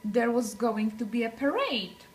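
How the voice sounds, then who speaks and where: A woman reads a children's story at a very unhurried pace. Her voice swings widely in pitch as she stresses words for dramatic effect. There is faint noise behind her. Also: expressive. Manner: deliberate, dramatic phrasing with emphasized words. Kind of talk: expressive picture-book read-aloud for young children.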